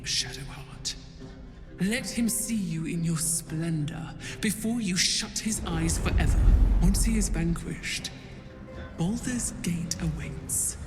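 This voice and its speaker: An actress playing an imperious dark goddess in a video game, solemn, grandiose and commanding, with heavy reverb on the voice. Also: female voice, sits low. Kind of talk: dramatic video game acting. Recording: echoing hall reverb, music behind.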